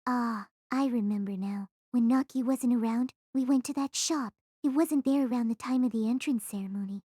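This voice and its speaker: A woman acts a chatty teenage schoolgirl in an audio drama. Her voice sits high yet stays mellow and soft-edged. She sounds cheerful, in a dry, close recording. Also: normal speed, dry recording, close to an everyday voice. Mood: chatty, recalling. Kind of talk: anime-style audio drama acting.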